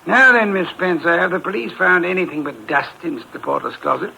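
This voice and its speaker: Pompous, imperious private detective, bright gravelly voice, clipped formal diction, vintage radio mystery. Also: male voice, high-pitched, expressive, brisk, heavily acted, rough recording. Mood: formal, probing. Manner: pompous, curt, formal and precise wording. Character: pompous, sedentary, brilliant middle-aged male private detective. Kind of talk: 1950s radio mystery acting. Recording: vintage 1950s radio broadcast, faint hiss.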